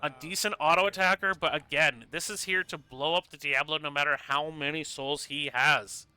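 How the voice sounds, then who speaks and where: A man casting a live esports match with play-by-play commentary, sounding congested from a stuffy nose and rising to excited bursts during fights. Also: a little high, moderate pace, smooth, poor audio. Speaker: esports caster.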